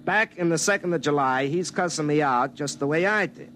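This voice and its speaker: A man's voice: on the high side, fairly quick, fairly bright tone, a little hiss or hum, lightly acted. An actor playing a folksy young lineman in love, talking colloquially in a 1950s radio drama style. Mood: sly, affectionate. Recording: vintage radio broadcast with music.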